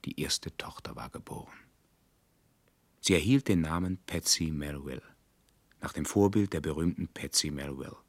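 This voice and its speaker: Sombre dystopian narrator: deep, husky, close and dry, for science fiction radio drama. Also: male voice, normal speed, dry recording. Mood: measured, ironic. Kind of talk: scripted radio play narration.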